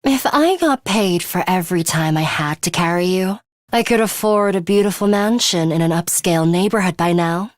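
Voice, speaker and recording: A woman voicing a composed, battle-hardened young woman in an English video game, speaking in a deliberate, matter-of-fact way. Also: fairly low pitch, dry recording, clean studio sound, lightly acted. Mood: dry, teasing. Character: young woman, composed battle-hardened time-looper, coolly urgent ally.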